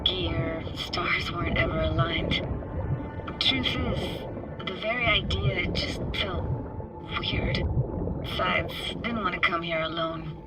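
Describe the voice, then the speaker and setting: A woman's voice: fairly low pitch, low-quality recording. Wry young techie woman, music behind. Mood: reflective, nostalgic. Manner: clipped casual phrasing, teasing, slangy. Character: Judy, wry, guarded young woman techie diver, quietly sentimental. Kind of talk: video game voice acting, casual dialogue.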